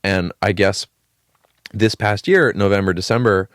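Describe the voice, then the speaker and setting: A man's voice: fairly low pitch, a little slow, no room sound, clean studio sound. A young man co-hosting a podcast, telling a long story in a casual, rambling way with fillers and the odd swear word. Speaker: podcast co-host. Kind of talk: casual chat.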